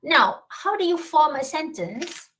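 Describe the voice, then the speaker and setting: A woman's voice: high voice, sing-song, measured pace, sharp tone, poor audio. A woman lecturer with a Malaysian English accent, answering audience questions at a talk and checking understanding with frequent tag questions. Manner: frequent tag questions like "yeah?" and "all right?". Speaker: university lecturer.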